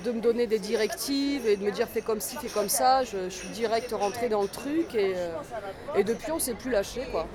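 A woman in her forties from southeastern France tells her story in an easygoing, meandering way, often stopping to restart a thought. She is recorded outdoors on a busy street, with chatter from a crowd and passing traffic clearly audible behind her. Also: a little high, normal speed. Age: 40s. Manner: relaxed, rambling, many fillers and restarts. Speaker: dancer and choreographer, guest. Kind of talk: casual interview chat.

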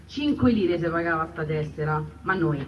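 A woman's voice: low-pitched, lively intonation, moderate pace, far from the mic, muffled recording, slight background noise. An actress playing an elderly Roman woman recalling her anti-fascist childhood, telling it colloquially in a Roman accent as stage testimony. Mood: reminiscing. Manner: colloquial storytelling. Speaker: stage actress in a radio theatre piece. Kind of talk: dramatised oral-history testimony in dialect.

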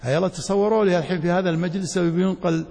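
A Saudi man lectures as a sheikh in a large, reverberant hall. His voice is husky and creaky, and he teaches didactically, putting short checking questions to his students. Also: high-pitched, fairly even intonation, racing, dark tone. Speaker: Islamic studies teacher, sheikh. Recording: echoey hall with long reverberation.

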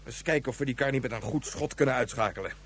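A man plays a villainous military colonel in a radio drama. His voice is rough-edged and medium in pitch, with cold, clipped commands. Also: quick pace, close to an everyday voice. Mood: cold, calculating. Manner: curt, barking orders. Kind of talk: Dutch radio drama acting.

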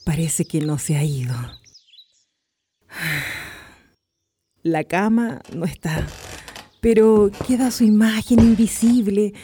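An actress playing a nostalgic, grieving wife in a radio drama, with a wistful, emotional delivery. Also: female voice, medium pitch, slow pace, pauses often, warm tone, lightly acted. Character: grieving, nostalgic wife clinging to her late parents' house. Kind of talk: Spanish-language radio drama acting. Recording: clicky recording with faint noise.

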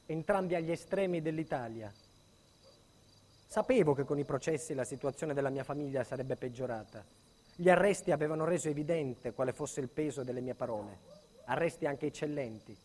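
Actor as high-pitched, intense male witness. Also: lightly acted. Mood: hushed, brooding. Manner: intense, swinging from hushed to agitated. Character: frightened, indignant male protected witness recounting his ordeal. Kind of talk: dramatic theatrical monologue.

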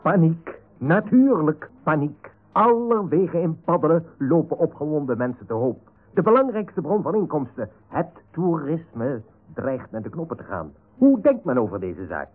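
An actor playing a theatrical roving reporter who narrates a comic radio serial with melodramatic emphasis. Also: male voice, a little high, big pitch swings, normal speed, slightly rough. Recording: noisy, narrow-band old radio recording.

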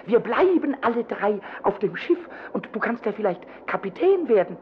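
A man's voice: high-pitched, big pitch swings, moderate pace. A male actor playing a small, mischievous, childlike kobold in a German radio play, stammering eagerly and breaking into giggles. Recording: muffled old recording with some noise.

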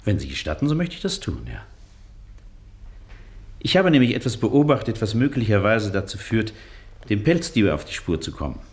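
Earnest, touchy gentleman, animated. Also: fairly low pitch, moderate pace, pauses often. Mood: polite, formal. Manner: formal, hesitant, circumstantial. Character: earnest, easily offended gentleman doctor, formal and stubborn. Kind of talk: German radio play acting.

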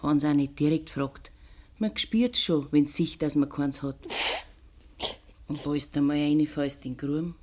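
A woman's voice: low voice, steady tone, normal speed, pauses often, darkish tone. An actress playing a worldly-wise, bitter, poor Bavarian woman in a lockup, earthy and sardonic, in a 1950s radio drama. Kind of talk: 1950s German dialect radio drama acting.

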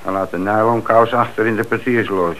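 A man's voice: lowish voice, steady tone, a little fast, a bit bright, a little hiss or hum, lightly acted, poor audio. An actor playing a thoughtful, doubtful male police detective musing to his chief in a Dutch radio play. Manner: musing, sceptical.

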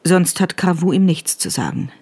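A woman's voice: lowish voice, wildly swooping pitch, moderate pace, darkish tone, breathy edge. Woman narrating a radio drama.

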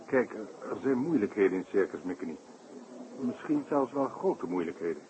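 An actor playing a polite, worried circus director in a 1960s radio play, speaking formally and carefully. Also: male voice, on the low side, lively intonation, moderate pace, lightly acted. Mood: earnest, uneasy. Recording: hissy, noisy old radio broadcast.

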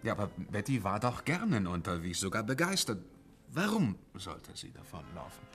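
A fretful young clerk, low-voiced, quick to get worked up. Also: male voice, sing-song, fairly bright tone, soft background music. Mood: worried. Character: excitable young bank clerk. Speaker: bank clerk. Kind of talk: 1950s radio drama acting.

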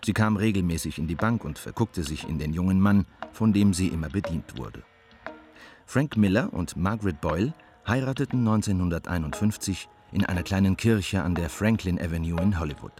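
An actor playing a calm, matter-of-fact storyteller, giving measured scripted narration in a radio drama. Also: male voice, low-pitched. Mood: sober, factual. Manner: sober, measured, factual. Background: music.

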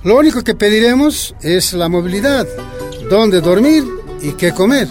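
A man's voice: high-pitched, animated, normal speed. A younger union organiser, a man full of earnest conviction. He talks persuasively, radio-drama style, with light music underneath. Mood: earnest, keen. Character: younger male Bolivian union leader, earnest, keen.

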